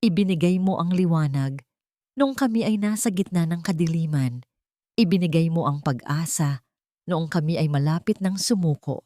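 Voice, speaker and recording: A woman's voice: low-pitched, lively intonation, moderate pace, warm tone, dry recording. Audio drama role: woman reading as a widowed father in love, solemn, tender and sincere, very dry close sound.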